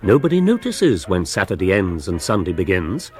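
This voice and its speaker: Lowish animated voice, urbane narrator, wry, measured, comic novel, faint music. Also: male voice. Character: urbane, wry storytelling author.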